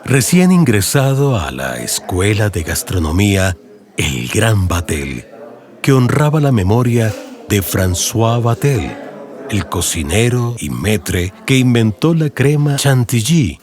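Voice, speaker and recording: A man with a Colombian accent narrating a literary radio drama audiobook in a measured storytelling delivery, with music behind him. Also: lowish voice, sing-song, a little mellow. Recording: music bed under narration.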